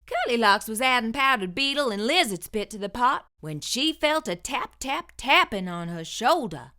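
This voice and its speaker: A woman hosting a story time and reading a picture book aloud, expressive and sing-song. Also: on the high side, moderate pace. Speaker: storyteller host.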